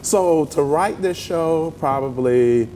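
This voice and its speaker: A man with a Southern US accent talking conversationally as an interview guest, telling stories in an animated, folksy way. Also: takes it slowly. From southern United States. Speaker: interview guest, playwright and stage actor. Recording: roomy long reverb with rumbling noise.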